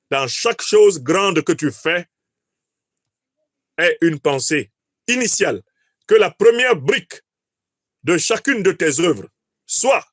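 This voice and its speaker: A man giving an oratorical political commentary, declamatory, with emphatic pauses and rhetorical questions. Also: sits high, a bit bright, no room sound, studio quality. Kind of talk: oratorical analysis of a speech.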